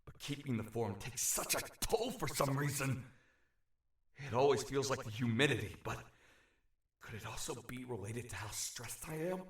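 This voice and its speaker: An actor playing an anxious young shapeshifter from another realm in an audio drama, talking to himself in a fretful, halting way. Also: male voice, medium pitch, lightly acted. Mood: relieved, fretful. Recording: roomy echo over prominent music.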